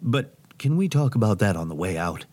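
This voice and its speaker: An actor playing a theatrical, self-important radio host in an audio drama, grandiose and melodramatic. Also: male voice, on the low side, big pitch swings, a little fast, mellow. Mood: dramatic relief. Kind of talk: audio drama acting, radio showman patter.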